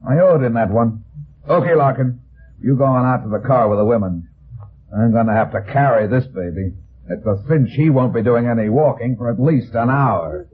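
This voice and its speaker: A man's voice: mid-range voice, normal speed, lightly acted. Man acting a hard-boiled radio detective, thin band-limited sound. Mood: wry, hard. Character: tough, sardonic male private detective taking charge. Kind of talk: old-time radio detective drama acting. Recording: thin, phone-like old radio recording.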